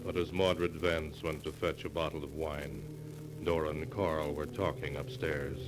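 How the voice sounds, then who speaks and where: Grave old-time radio narrator, very deep, flat delivery, music under. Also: male voice, a little slow.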